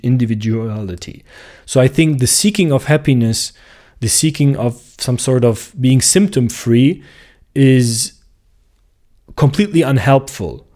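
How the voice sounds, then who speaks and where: A man, a clinical psychologist talking in a slow, reflective monologue and searching for words as he goes. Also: medium pitch, warm tone. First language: German. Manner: thoughtful, searching for words with restarts.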